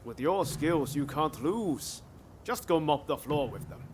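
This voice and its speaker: A man's voice: fairly high pitch, lively intonation, unhurried. A man playing a breezy, encouraging warrior comrade in a video game cutscene, speaking casually. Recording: clear background ambient noise.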